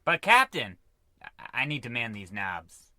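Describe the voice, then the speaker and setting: A man's voice: medium pitch, sing-song, unhurried, sharp tone, no room sound, studio quality, heavily acted. A man playing a timid, earnest spaceship crewman in a comic radio play, hesitant, stammering and deferential. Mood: worried, protesting. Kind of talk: comic old-time radio play acting.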